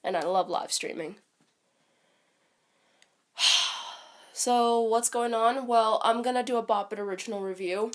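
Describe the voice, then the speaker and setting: A woman's voice: fairly high pitch, unhurried, pauses often. A woman with a stuffy, congested voice from a cold, talking casually on a live stream and rambling with frequent ums and restarts.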